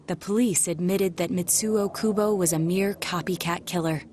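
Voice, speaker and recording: A woman's voice: on the low side, steady tone, normal speed, a little mellow, slight background noise, lightly acted. A woman voicing a serious, analytical young detective in a video game dub, speaking formally and precisely. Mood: businesslike.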